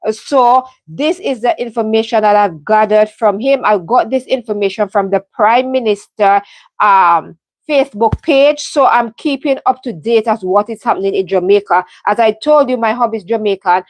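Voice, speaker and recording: A woman's voice: medium pitch, moderate pace, no echo. Earnest Caribbean woman on a live stream. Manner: earnest, heartfelt, many ums and restarts. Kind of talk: casual live stream update. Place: home in London.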